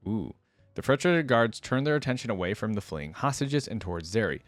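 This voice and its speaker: A man's voice: fairly low pitch, very animated, a little fast, no room sound. A man reacting as he reads a story aloud, emphatic in delivery, dropping in casual commentary. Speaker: host of a reaction channel.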